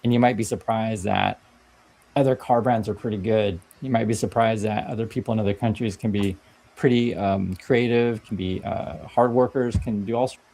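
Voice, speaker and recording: A man's voice: lowish voice, fairly even intonation, moderate pace, vocal fry, mellow, rough recording. A man from Vietnam giving casual explainer commentary, talking conversationally and often tagging "right?" onto his points. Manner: conversational, keeps asking "right?". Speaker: commentator on a played video clip.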